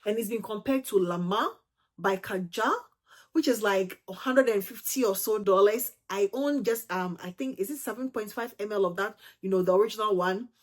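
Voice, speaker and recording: A woman's voice: medium pitch, moderate pace. Ghanaian lady doing a perfume vlog, medium voice, gushy, lots of um and you know. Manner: chatty and gushing, peppered with "you know" and "um". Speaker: fragrance channel host. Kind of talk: casual fragrance review to camera.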